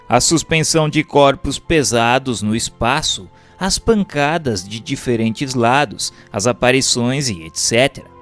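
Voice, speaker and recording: A man with a Brazilian accent reading a book aloud as formal, didactic scripted narration, enunciating carefully. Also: mid-range voice. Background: music.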